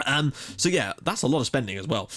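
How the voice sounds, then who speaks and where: A man's voice: medium pitch, animated, quick pace, a bit bright. A young man casually commenting on his game playthrough with a jokey, rambling manner. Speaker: let's play host.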